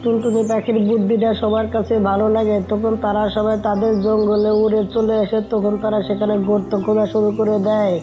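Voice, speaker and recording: A woman's voice: mid-range voice, monotone, fairly quick, hardly any pauses, dull audio. A woman narrating a scripted cartoon story as its storyteller.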